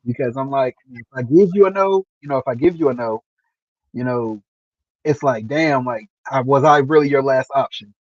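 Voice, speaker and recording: Man, host, casual interview, halting and repetitive, mid-pitched voice, lo-fi stream sound. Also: dry recording. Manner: halting, repeats himself, earnest. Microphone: video call. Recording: dull lo-fi stream audio.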